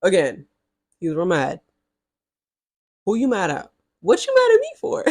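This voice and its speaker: A woman's voice: mid-range voice, huge pitch swings, normal speed, a little mellow, no echo, studio quality. A woman in her early twenties telling a storytime to her viewers in a chatty, sassy way, with frequent direct asides. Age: early 20s. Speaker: vlogger. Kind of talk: casual storytime to camera.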